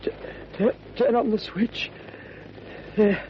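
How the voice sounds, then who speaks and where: Male crook, frightened and faltering, high voice, slow delivery, radio melodrama. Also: fairly even intonation. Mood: dying, gasping. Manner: halting, faltering, pleading. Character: frightened, weak male crook pleading for his life. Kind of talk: old-time radio drama acting. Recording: noisy, muffled vintage radio recording.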